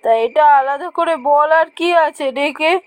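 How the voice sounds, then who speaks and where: A woman voicing a busy, impatient mother in a cartoon, nagging briskly at her grown daughter. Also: high-pitched, steady tone, no echo, lightly acted. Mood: impatient, scolding. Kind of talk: cartoon voice acting.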